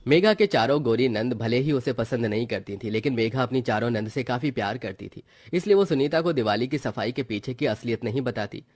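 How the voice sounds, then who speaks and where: Casting: male narrator for animated folk-style family tales, medium pitch, scripted storytelling delivery. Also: brisk, few pauses, no room sound.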